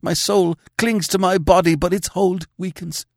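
A man's voice: sits high, fairly even intonation, normal speed, vocal fry, no room sound, pitched above the performer's own voice. A man voicing a desperate dying king. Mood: urgent, failing. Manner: anguished pleading turning to imperious orders. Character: dying young king, bewitched, desperate and commanding. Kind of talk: audiobook character voice.

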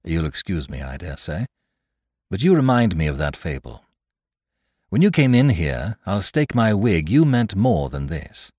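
Man acting a calm, genteel, shrewd doctor. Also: deep voice, no room sound, studio quality, full character voice. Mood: wry. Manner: measured, courteous.

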